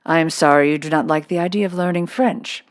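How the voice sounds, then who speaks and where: A woman's voice: sits low, a little fast, dry recording, clean studio sound, close to an everyday voice. A woman voicing a severe, imposing headmistress in a children's storybook, clipped, prim and commanding with tart politeness. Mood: stern.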